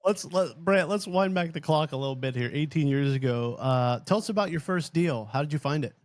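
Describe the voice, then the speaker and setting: A man hosting a podcast interview, asking questions in a relaxed, casual way. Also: on the high side, a little fast, no room sound, studio quality. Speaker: podcast host.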